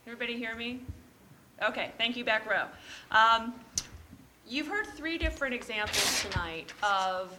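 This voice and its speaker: A woman panelist starting her talk in a chatty, loud way with a few fillers, speaking in a lecture room. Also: on the high side, normal speed, a little hiss or hum, rough recording.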